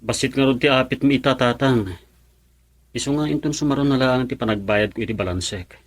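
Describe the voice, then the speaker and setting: A man's voice: mid-range voice, a little fast, lightly acted. Man to play modest rural husband, Ilocano accent, radio drama. Deferential, sincere, mid-range voice. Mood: apologetic, respectful. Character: humble middle-aged rice farmer, husband and father, respectful.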